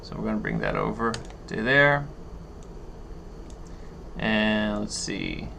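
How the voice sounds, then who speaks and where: Male tutorial instructor, forceful tone with gravelly edges, halting step-by-step delivery, unscripted. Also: lowish voice. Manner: thinks aloud, halting, step-by-step. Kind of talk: unscripted screen-share software walkthrough. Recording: noticeable background noise.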